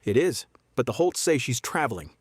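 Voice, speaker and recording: An actor playing a guarded small-town sheriff in a noir audio drama, laconic, deadpan and measured. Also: male voice, mid-range voice, slightly rough, no echo.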